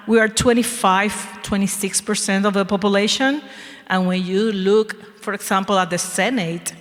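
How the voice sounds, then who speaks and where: Woman advocate, slow deliberate talk, emphatic, hall reverb. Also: medium pitch, a bit bright. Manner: emphatic, rhetorical questions. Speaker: advocate panelist. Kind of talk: panel discussion.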